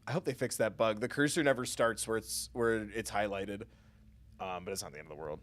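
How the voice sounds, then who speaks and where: A man's voice: on the low side, a little fast, a bit bright. A man doing casual, jokey and self-deprecating live commentary while playing a game. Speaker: livestreamer playing and reading aloud a visual novel.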